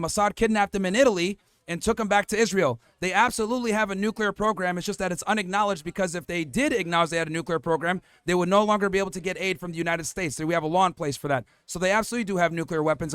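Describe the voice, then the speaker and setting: A man's voice: sits high, fast talker, hardly any pauses, fairly bright tone, clean tone, dry recording. Assertive man laying out numbered points. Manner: assertive, lists points by number, cuts in to hold the floor. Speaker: debate host. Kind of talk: street debate argument.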